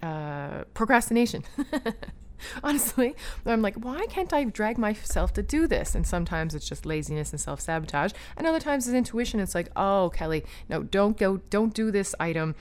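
A woman's voice: medium pitch, big pitch swings, normal speed, a little hiss or hum. A woman hosting a talk show, speaking in an enthusiastic, rambling way with many fillers and restarts. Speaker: podcast host. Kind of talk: casual spiritual talk, guided breathing.

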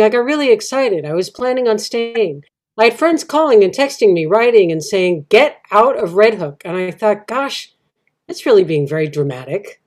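A woman's voice: mid-range voice, darkish tone, lightly acted. A woman reading the monologue of a kayaker, telling it with light self-mockery. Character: kayaker thrilled by the storm. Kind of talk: readers theatre monologue.

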